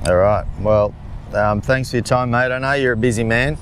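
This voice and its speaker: A man's voice: medium pitch, normal speed, slight background noise. A man, asking questions in a friendly, hesitant way, recorded outdoors on a golf course. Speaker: interviewer. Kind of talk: casual interview questions.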